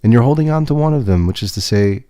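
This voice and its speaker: Soft-spoken man giving a tarot reading. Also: mid-range voice, lively intonation, no room sound, clean studio sound. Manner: gentle and reassuring, pausing and restarting. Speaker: tarot reader and podcast host. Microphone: webcam setup.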